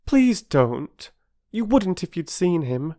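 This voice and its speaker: A man's voice: high-pitched, very animated, slow pace, dark tone, pitched above the performer's own voice, full character voice. A man voicing an earnest young girl who talks breathlessly and pleads in a storybook reading. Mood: urgent pleading.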